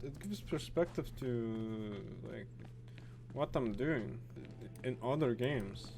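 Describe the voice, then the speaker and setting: A man doing casual gameplay commentary, halting and repeating words, with frequent swearing. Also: medium pitch, background noise. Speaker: gaming video host.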